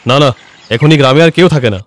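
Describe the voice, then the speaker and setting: A man's voice: on the high side, fast talker, lightly acted. A man voicing a stern policeman in a cartoon story. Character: stern policeman blocking the road.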